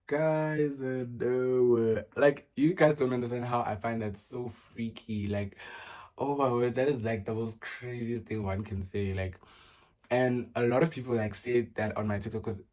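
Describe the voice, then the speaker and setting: A man's voice: mid-range voice, steady tone, normal speed, a little mellow. A man, chatting casually through a get-to-know-me Q and A, full of fillers and restarts. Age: early 20s. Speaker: vlogger.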